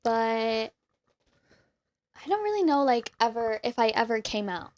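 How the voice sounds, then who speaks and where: A woman's voice: on the high side, very animated, slow pace, darkish tone, dry recording, studio quality. A young woman co-hosting a podcast, agreeable and slangy in casual chat. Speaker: podcast co-host.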